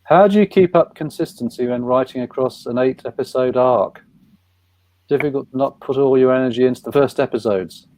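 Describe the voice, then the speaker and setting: A man's voice: medium pitch, a little fast, darkish tone. A man moderating a casual panel, putting questions in a conversational, slightly hesitant way. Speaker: panel host.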